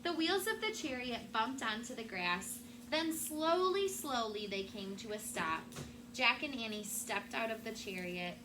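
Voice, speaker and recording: A woman's voice: on the high side, expressive, unhurried. A woman teacher reading a storybook aloud to young children with dramatic, emphatic phrasing. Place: classroom. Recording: noisy room with background ambience.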